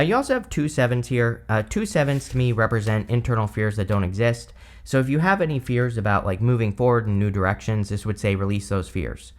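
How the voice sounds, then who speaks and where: A man giving a relaxed, rambling tarot reading with lots of "you know" and "uh", his voice a bit hoarse from allergies. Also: lowish voice. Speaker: tarot reader. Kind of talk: casual tarot reading to camera.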